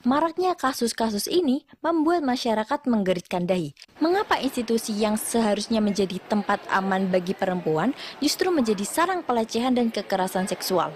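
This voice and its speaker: A female reporter with a moderately high voice. She narrates a scripted television news package, reading in full, formal sentences. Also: very animated, a little fast, a little hiss or hum.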